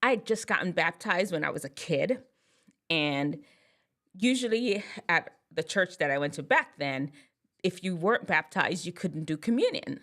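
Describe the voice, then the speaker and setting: A woman in her thirties from Jamaica talks straight to her viewers in a loose, storytelling way. Her pitch leaps and swoops all over the place when something excites her, and she breaks into laughter at her own jokes. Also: normal speed. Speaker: vlogger. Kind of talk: casual chat to camera.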